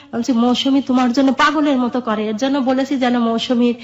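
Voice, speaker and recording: Middle-aged woman, Bangladeshi accent, high voice. Earnest personal storyteller in interview, lo-fi sound. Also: steady tone, fast talker. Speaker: guest telling her life story. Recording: dull, muffled lo-fi audio.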